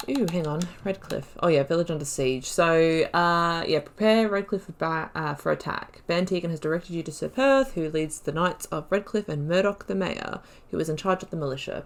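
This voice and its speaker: A woman hosting a gaming video, chatting casually through a playthrough and reading lore aloud. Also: low-pitched.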